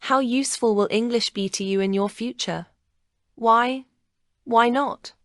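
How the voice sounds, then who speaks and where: A woman acting as examiner, reading speaking-test questions clearly and slowly. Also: a little high, no echo, clean studio sound. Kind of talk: scripted exam questions.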